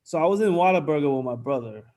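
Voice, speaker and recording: A man's voice: a little high, expressive, quick pace, darkish tone. A man with a New York accent, a guest on a casual panel, telling stories animatedly, stumbling and repeating words, on a video call from home. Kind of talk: casual chat.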